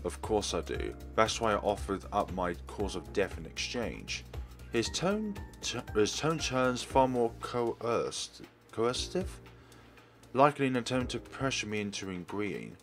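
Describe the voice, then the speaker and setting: A man's voice: lowish voice, big pitch swings, a little slow, faint music behind. A man reading a visual novel's text aloud expressively as a let's player, in a formal manner with small stumbles. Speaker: let's play streamer. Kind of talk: dramatic read-aloud of game text.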